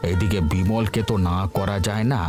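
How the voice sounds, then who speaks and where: An actor playing a patient middle-aged village husband and shopkeeper in a fairy tale cartoon, speaking in a gentle, placating way. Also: male voice, lowish voice, fairly even intonation, normal speed, music in the background, close to an everyday voice. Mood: earnest, kindly.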